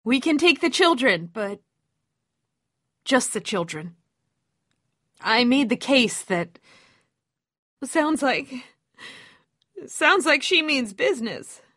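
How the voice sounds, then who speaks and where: A kindly woman guarding the gate of a survivor camp in a video game. Her voice sits on the high side and sounds very dry. She speaks in a folksy, motherly, hesitant way, as someone apologetic by nature. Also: very animated, slow pace, pauses often, fairly bright tone, no echo, full character voice. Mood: regretful, hesitant. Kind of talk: dramatic video game dialogue.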